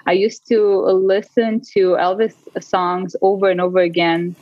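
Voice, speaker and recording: A woman, a podcast guest telling her story in a casual interview, animated and quick to laugh. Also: fairly low pitch, no echo. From Russia. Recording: dry, dull lo-fi call audio.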